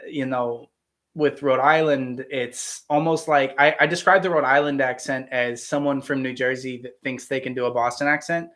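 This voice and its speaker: A man's voice: medium pitch, a bit bright. A man from Ohio with a standard American accent, chatting casually and enthusiastically on a live Q&A, with many fillers and restarts. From Ohio, United States. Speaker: actor hosting a live Q&A. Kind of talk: casual livestream chat.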